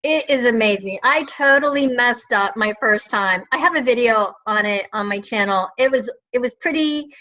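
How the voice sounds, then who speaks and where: A woman's voice: on the high side, moderate pace, few pauses, pushed and bright, dull audio. A middle-aged woman chatting as a guest on a video call, enthusiastic and rambling with lots of fillers. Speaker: guest, online reseller. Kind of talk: casual video chat.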